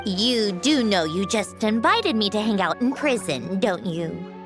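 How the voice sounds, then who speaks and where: Woman voicing a proud young lady, lively pitch. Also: unhurried, music in the background, natural voice. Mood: dry, grudging. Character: proud, reserved young woman, grudgingly kind. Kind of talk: English video game voice acting.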